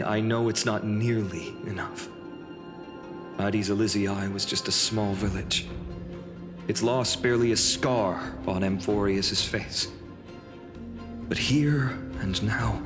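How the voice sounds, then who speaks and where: Game hero, young man. Earnest, haunted undertone, very slow delivery, music underneath. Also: lowish voice. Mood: haunted, grave. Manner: earnest, measured, reflective. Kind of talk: English video game voice acting. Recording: long reverb, music behind.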